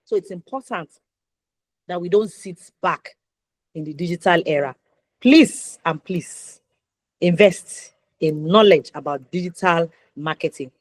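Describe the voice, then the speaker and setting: A woman's voice: lowish voice, a little slow, no echo. A woman with a Nigerian accent hosting a conversational interview show, encouraging and emphatic, repeating points for stress. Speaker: talk show host.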